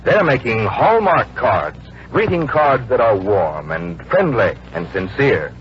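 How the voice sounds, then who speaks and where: A man's voice: mid-range voice, big pitch swings, slow. Polished male announcer, muffled vintage radio. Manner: polished, storytelling sales delivery. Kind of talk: 1940s scripted radio advertising narration. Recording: muffled, noisy old radio broadcast.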